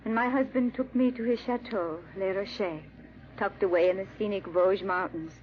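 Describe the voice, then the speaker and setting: A woman's voice: mid-range voice, normal speed, natural voice. A woman playing an anxious young French bride in a 1940s radio drama, with formal, theatrical diction. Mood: wistful recollection. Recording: dull, muffled old radio recording.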